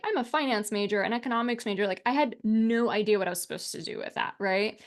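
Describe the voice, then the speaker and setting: A woman's voice: a little high, a bit bright. A woman in her thirties from the United States, teaching an online class as a coach in a chatty, upbeat way, with lots of "like" and "right?". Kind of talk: casual spoken class presentation over slides. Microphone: webcam and desk mic on a video call.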